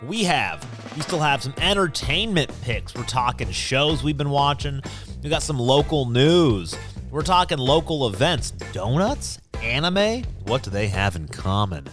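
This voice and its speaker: A man's voice: mid-range voice, very animated, unhurried, a bit bright, soft background music. A man co-hosting a podcast in casual snack-tasting chat, informal and given to repeating short phrases. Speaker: podcast co-host.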